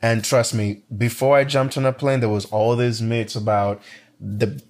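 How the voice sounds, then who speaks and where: A man's voice: mid-range voice, steady tone, normal speed, a little mellow. A man in his twenties from Kenya, telling a personal story in casual conversation with many restarts. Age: 20s. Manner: storytelling, frequent restarts and fillers.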